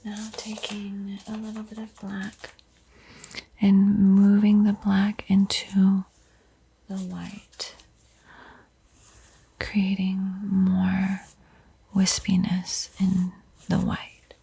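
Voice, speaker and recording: A woman playing a gentle vampire art teacher in a whispered ASMR painting roleplay, soothing and unhurried, lingering over words. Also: medium pitch, monotone, full character voice, rough recording. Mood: calm, encouraging. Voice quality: breathy, mostly unvoiced whisper.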